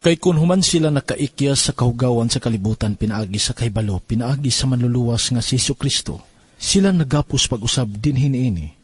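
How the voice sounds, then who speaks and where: A man's voice: medium pitch, fairly quick, husky, no echo. A man giving a solemn, scripted devotional reading of scripture. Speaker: scripture reader.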